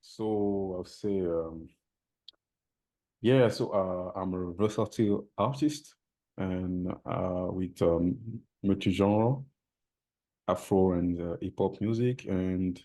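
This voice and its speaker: A soft-spoken man with a slight foreign accent answers haltingly and restarts his phrases. His voice is deep and mellow. He sounds modest and thoughtful. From Switzerland. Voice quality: low voice. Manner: hesitant, many restarts, soft-spoken, laughs shyly. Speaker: musician guest. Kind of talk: interview answers.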